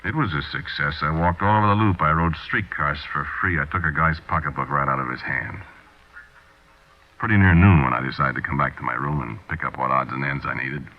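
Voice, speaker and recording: A man's voice: a bass voice, a little fast. An actor with an American accent playing a cold-blooded small-time crook, telling his story in tough, confiding slang in 1940s radio drama style. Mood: matter-of-fact, confiding. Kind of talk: 1940s radio drama first-person narration. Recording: muffled 1940s radio recording.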